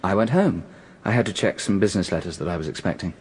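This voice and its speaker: A man playing a defensive, rattled British gentleman in the dock in a radio courtroom drama, with clipped answers. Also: sits low, quick pace, breathy edge. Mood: guarded. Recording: reverberant courtroom-like hall.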